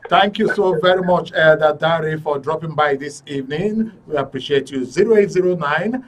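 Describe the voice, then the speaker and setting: A man with a Nigerian accent and a husky voice, hosting a live call-in show. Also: fairly high pitch, normal speed, distant mic. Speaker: radio and TV show host.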